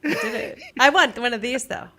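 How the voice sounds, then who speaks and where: A woman co-hosting a casual live stream, chatty and quick to laugh and agree. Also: high-pitched, expressive, a crowd in the background.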